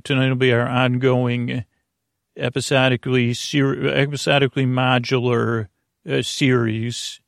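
A man hosting a sleep podcast, rambling in a halting, meandering way that circles back and trails off, with a creaky voice. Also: mid-range voice, no echo, clean studio sound. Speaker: podcast host.

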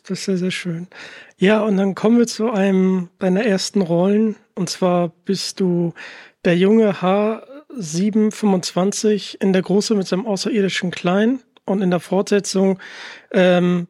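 A man hosting a podcast, asking interview questions in a calm, appreciative, slightly hesitant way. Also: sits high, unhurried, dry recording, studio quality. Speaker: podcast host.

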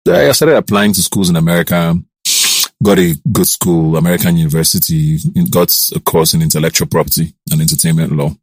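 A man in his thirties with a Nigerian accent, a TV host telling his career story in a relaxed interview, lively when he acts out what others said. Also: brisk, breathy edge, no room sound. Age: 30s. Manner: animated, jumps up in pitch to act out quoted voices.

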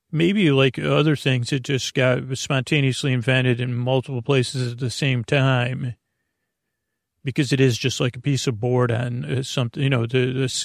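Man, sleep podcast host, rambling soft bedtime chat, hesitant fillers, gravelly, mid pitch. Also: no room sound, clean studio sound.

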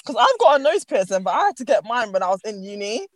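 Young woman, london accent, expressive slangy talker, lively pitch, audible background noise. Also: quick pace. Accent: London English. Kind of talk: casual chat.

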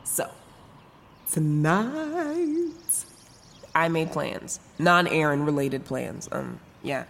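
A woman's voice: low voice, lively intonation, extremely slow, gravelly, big-room sound, full character voice. An actress playing a frank, foul-mouthed young woman, newly sober, rambling through a confessional audio drama in sarcastic slang. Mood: wistful, casual. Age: young adult. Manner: rambling, self-interrupting, sarcastic, casual slangy talk. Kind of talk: confessional first-person audio drama narration.

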